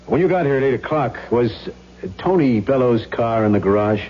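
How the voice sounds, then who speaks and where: A man's voice: medium pitch, expressive, soft background music, lightly acted. Man acting a dogged detective, dull radio sound. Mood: probing. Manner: probing, deliberate, pressing questions. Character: dogged, shrewd male police detective interrogating suspects. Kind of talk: 1970s radio drama acting. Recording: dull vintage radio recording, faint hum.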